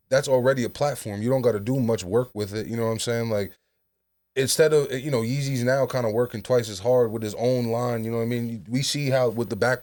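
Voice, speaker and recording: A man's voice: mid-range voice, darkish tone. Rambling man hosting a podcast chat. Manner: loose, rambling, restarts a lot. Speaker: podcast host. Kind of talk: casual chat.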